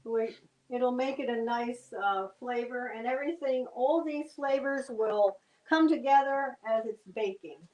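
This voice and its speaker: A woman's voice: on the high side, a little slow, darkish tone, echoey room, dull audio. Homey older woman explaining a recipe. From New Jersey. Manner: homey, explains steps plainly. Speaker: guest cook. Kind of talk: cooking demonstration chat.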